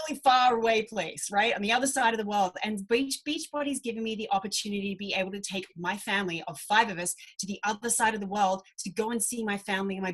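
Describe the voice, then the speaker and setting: A woman's voice: fairly high pitch, fairly bright tone. A woman in her thirties with an Australian accent, giving a bubbly, slangy talk as a fitness coach. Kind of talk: enthusiastic presentation.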